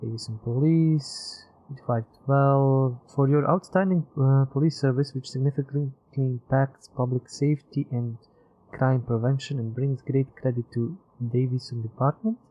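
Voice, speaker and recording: A man with an Eastern European accent giving casual, animated and rambling live commentary as he streams a game. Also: mid-range voice, normal speed, lo-fi sound.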